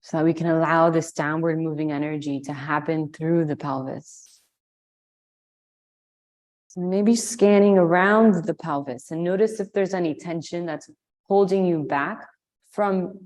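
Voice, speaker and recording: A woman leading a guided meditation, giving slow, soothing instructions with long pauses. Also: low voice, darkish tone. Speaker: meditation and yoga teacher.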